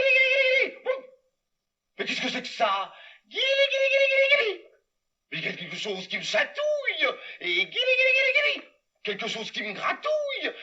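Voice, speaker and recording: A man's voice: very high-pitched, sharp tone, pitched above the performer's own voice, full character voice. A man voicing a scheming male castle steward in a live puppet show for children, gleeful and theatrical as he talks to the audience. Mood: frightened. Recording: dull recording from far off.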